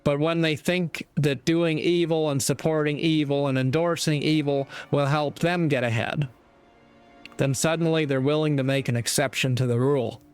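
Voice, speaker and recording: A man giving reflective spoken commentary in an earnest, deliberate manner, pausing mid-sentence. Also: a little high, fairly even intonation, clean tone, soft background music. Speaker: speaker giving moral commentary.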